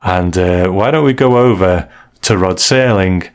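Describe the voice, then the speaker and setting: Low-voiced man hosting a podcast. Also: a little slow, smooth. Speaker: podcast host. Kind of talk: conversational podcast review.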